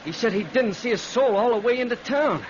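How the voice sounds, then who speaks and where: Excitable farm boy, rural american, high voice, folksy, old radio play with lots of hiss. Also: a little fast. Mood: grave, consoling. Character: earnest, excitable, kindly country lad. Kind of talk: old-time radio drama acting. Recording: muffled, noisy vintage radio recording.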